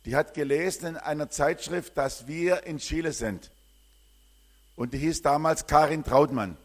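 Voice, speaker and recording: An older man from southern Germany speaks to a church congregation as a missionary, sharing his life in a loose, rambling way. He pauses and hesitates often. His voice sits somewhat high for a man. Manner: warm, rambling, with frequent hesitations. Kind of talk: church greeting and personal testimony.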